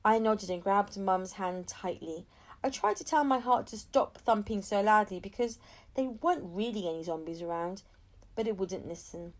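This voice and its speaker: A woman reading a children's novel aloud as an expressive storyteller. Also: medium pitch, normal speed. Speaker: audiobook reader.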